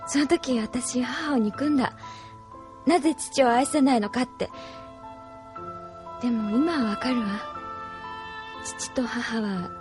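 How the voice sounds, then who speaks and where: A woman's voice: fairly high pitch, slow pace, long pauses, over music. An actress playing a troubled, wistful young woman in an anime audio drama, reflective, emotional and self-reproaching. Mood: wistful, resigned.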